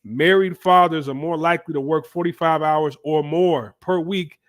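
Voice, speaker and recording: A man's voice: a little high, steady tone, moderate pace. Stuffy-voiced man hosting commentary. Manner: loose, repetitive, thinking aloud with fillers. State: stuffy from a cold. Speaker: commentary channel host. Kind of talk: casual commentary.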